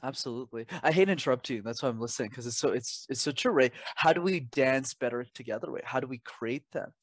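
A man's voice: mid-range voice, fast, breathy edge, no room sound. A young man speaks as an interview guest in a mid-range voice. He is articulate and emphatic, mixing advice with personal stories and rhetorical questions. The sound is dry, with very little room in it. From Canada. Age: young adult. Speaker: interview guest, communication coach. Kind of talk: conversational advice and personal anecdotes.

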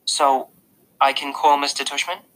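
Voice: mid-range voice, flat delivery, unhurried, pushed and bright, poor audio. An audiobook reader voicing a caring but stern mother talking to her young sons. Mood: pleased. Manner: earnest, emphatic, admonishing. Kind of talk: audiobook character dialogue.

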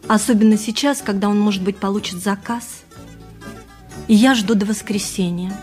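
An actress playing a simple, thoughtful milliner in a radio play, narrating and talking in an ingenuous, musing way. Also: female voice, mid-range voice, fairly even intonation, normal speed, faint music behind, natural voice. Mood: puzzled, musing.

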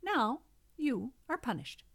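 A woman's voice: sits high, big pitch swings, takes it slowly, a bit bright, husky, pitched above the performer's own voice, heavily acted. A woman voicing a stern, reproachful wind spirit in a grave, scolding manner.

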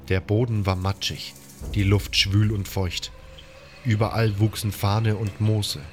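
A man with a fairly low voice narrates a scripted fantasy story in the first person. Music runs quietly underneath his reading. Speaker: storyteller reading a game-based audio story.

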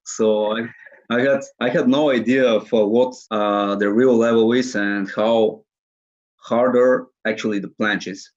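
A man's voice: fairly low pitch, fairly even intonation, unhurried, highs cut off. A man in his early twenties with a Bulgarian accent, giving casual answers as an interview guest. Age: early 20s. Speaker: interview guest, calisthenics athlete.

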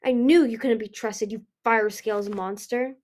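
A woman's voice: fairly high pitch, steady tone, normal speed, lightly acted. A woman voicing a furious, defiant young dragon queen in a storybook, choking and spitting out her words. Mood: shouting accusations. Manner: shouting, choked, spitting out words.

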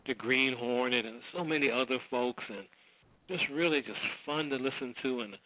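A man's voice: mid-range voice, moderate pace. A middle-aged American man hosting a radio show, rambling conversationally through a casual, unscripted sign-off. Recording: roomless, dry, tinny phone-like line.

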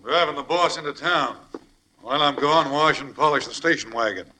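A man's voice: fairly high pitch, brisk, sharp tone. An actor in an old radio crime drama playing a tough garage foreman who barks curt orders. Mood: bossy. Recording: noisy old-time radio broadcast recording.

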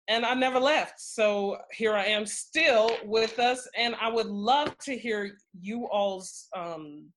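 A woman hosting an interview, leading her guests with warm encouragement and frequent small interjections. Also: mid-range voice. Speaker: host.

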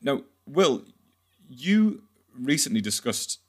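A man hosting a podcast interview in a conversational, halting way, rephrasing as he goes. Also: medium pitch, very animated. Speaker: podcast host.

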